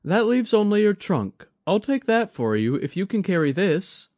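A man voicing a young woman who keeps a shrine, bright, courteous and eager, in a high put-on voice. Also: very animated, moderate pace, no room sound.

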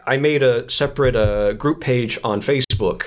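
A man speaks as an interview guest in a medium-pitched voice. His talk is casual and conversational. He explains things by slipping into short quoted lines. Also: moderate pace. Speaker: podcast guest, writer and director.

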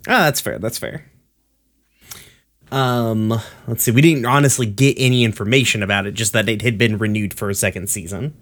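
A man's voice: fairly low pitch, normal speed, a bit bright. A man hosting a podcast in casual, joking chat, loose and a bit sweary. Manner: laid-back, joking, sweary. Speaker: podcast host.

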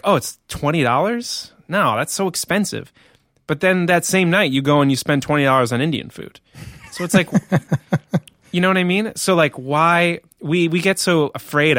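A man's voice: fairly high pitch, fairly bright tone, no echo, clean studio sound. A man co-hosting a podcast, talking in a loose, laid-back way with casual swearing. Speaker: podcast co-host. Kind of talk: casual chat.

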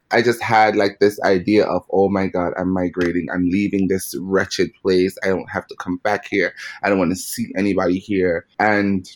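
A man from Jamaica with a Jamaican accent, being interviewed and speaking frankly about his own experience. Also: low-pitched. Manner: frank, with fillers. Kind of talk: candid personal storytelling.

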